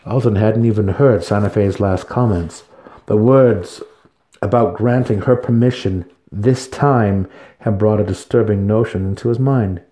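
A man's voice: lowish voice, unhurried, mellow. A man giving a dramatic reading of a fantasy story as its narrator. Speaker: audiobook narrator.

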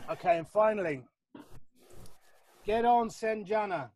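A man's voice: sits high, unhurried, a little mellow. A man co-hosting a casual chat, quizzing a guest with jokey, self-mocking humour and frequent laughs. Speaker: podcast co-host.

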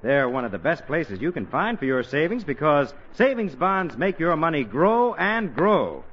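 A man's voice: a little high, sing-song, normal speed, slightly rough. A man announcing in polished, folksy 1940s radio style, reading scripted copy on an old broadcast recording. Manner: folksy, polished sales patter. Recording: dull band-limited 1940s radio recording.

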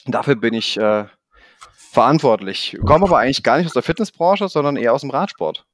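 A middle-aged man from the Rhineland with a mixed Swabian and Rhineland accent, talking fast and energetically as a podcast guest, with plenty of fillers and self-mocking jokes. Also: medium pitch, animated, dry recording, studio quality. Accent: mix of Swabian and Rhineland German. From Koblenz, Rhineland, Germany. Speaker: podcast guest, training institute manager. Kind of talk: casual interview talk.

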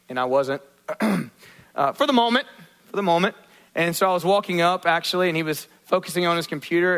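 A male pastor preaching a sermon, asking the congregation questions and acting out quotes in lively voices. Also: a little high. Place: church.

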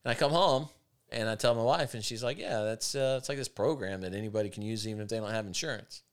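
Raspy low-voiced man. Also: quick pace. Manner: opinionated, emphatic, storytelling. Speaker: podcast host. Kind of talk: casual podcast talk.

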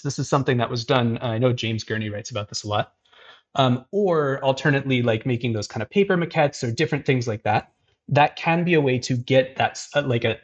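A man's voice: mid-range voice, dull audio. Casual, rambling male livestream host. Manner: casual, filler-heavy, frequent "like" and "um". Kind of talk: livestream chat.

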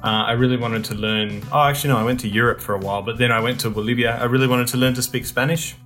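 Relaxed young man, rambling. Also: medium pitch, very fast, faint music behind. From Australia. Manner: relaxed, rambling, many ums and restarts. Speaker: guest. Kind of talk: casual conversational interview.

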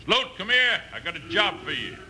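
An actor playing a surly, scheming American outlaw boss with gruff, bossy speech in an old western radio drama. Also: male voice, high voice, sing-song, moderate pace, noisy background. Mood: menacing. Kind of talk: 1950s western radio acting. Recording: old radio broadcast with loud music.

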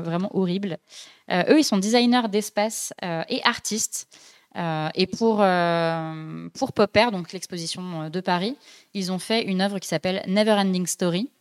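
Chatty young woman podcast host, somewhat low. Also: darkish tone. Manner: chatty, many hesitations and fillers. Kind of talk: casual podcast chronicle.

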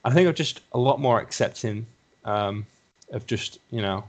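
A man's voice: medium pitch, moderate pace. A young man with a Lancashire accent chatting casually as a podcast guest, rambling and reflective, full of "you know.". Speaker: podcast guest, assistant psychologist. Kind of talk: casual conversation.

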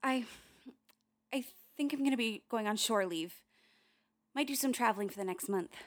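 A woman acting a defiant, protective young woman who is intense and sharp-tongued, in a radio play. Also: on the high side, normal speed, slight background noise, close to an everyday voice. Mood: anxious. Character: defiant, protective young woman, a sailor investigating family tapes.

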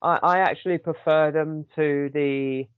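A man's voice: on the high side, steady tone, measured pace, clean tone, no room sound, phone-quality sound. A man hosting a casual chat podcast with a sore throat, rambling with many ums. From United Kingdom. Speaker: podcast host.

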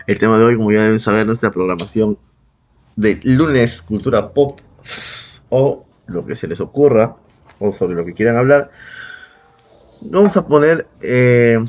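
A man's voice: fairly low pitch, expressive, slow, pauses often, darkish tone. A man hosting a podcast in casual, humorous chat, with an irreverent, profane way of talking. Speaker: podcast host.

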